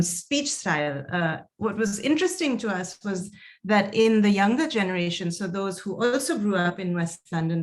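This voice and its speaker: A woman delivers a university lecture in a calm, explanatory way. Her voice sits at a middle pitch, and she often pauses with an um or an uh as she works through each point. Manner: measured, explanatory, with frequent um and uh. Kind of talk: academic lecture.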